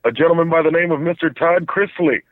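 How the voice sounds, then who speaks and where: A man's voice: on the high side, quick pace, dry recording. A man phoning in to a casual talk show, telling a rambling story and stumbling over his words. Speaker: phone-in guest. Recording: tinny phone line.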